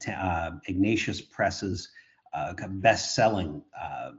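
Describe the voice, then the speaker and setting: Low-voiced priest on a dull line. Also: male voice, takes it slowly. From Canada. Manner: repeats words, many uh fillers. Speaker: priest and exorcist, guest. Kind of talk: interview answers.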